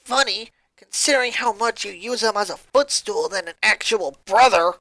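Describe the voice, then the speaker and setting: A woman's voice: medium pitch, moderate pace, fairly bright tone, no echo, voice pushed up, natural voice, rough recording. A woman voicing an angry, worried teenage girl in a story reading.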